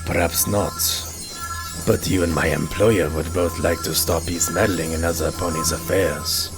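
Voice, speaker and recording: A man playing a sly, coolly menacing griffin henchman in a cartoon audio drama, with a smooth, insinuating manner. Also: deep voice, fairly even intonation, unhurried. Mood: persuading. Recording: music playing behind.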